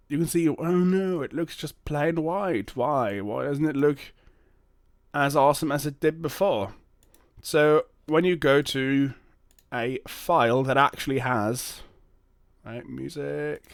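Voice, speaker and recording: A man hosting a casual, unscripted software demo video, thinking aloud in hesitant, stop-and-start phrases. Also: mid-range voice, dry recording, clean studio sound. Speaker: host of a Linux software video channel.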